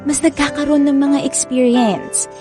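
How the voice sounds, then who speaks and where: A woman with a Filipino accent playing a frank, caring best friend who gives advice in a radio drama, with expressive, drawn-out emphasis. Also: sits high, music in the background, close to an everyday voice. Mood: advising, emphatic.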